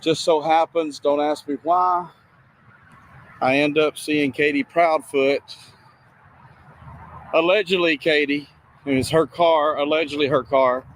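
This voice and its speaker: Halting man narrating outdoors over noise. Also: fairly high pitch, fairly even intonation. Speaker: on-the-scene video streamer. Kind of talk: casual on-location narration. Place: outdoors by a road and woods. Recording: outdoor noise, distant freeway. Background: freeway and ambient outdoor noise.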